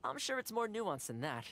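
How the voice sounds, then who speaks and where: Kind young man, lively intonation. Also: high-pitched, slight background noise, full character voice. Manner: polite, obliging. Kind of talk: anime-style video game voice acting. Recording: music behind the voice.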